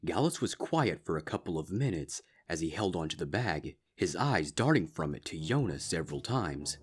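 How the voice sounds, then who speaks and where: A man's voice: deep voice, very animated, moderate pace, breathy edge. A man reading a scripted fan fiction story aloud as its narrator.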